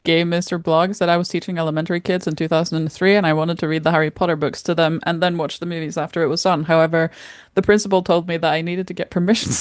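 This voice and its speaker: Deadpan woman in her thirties, lowish voice. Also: no room sound. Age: 30s. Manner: dry, deadpan sarcasm. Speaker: podcast co-host. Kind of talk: casual chat.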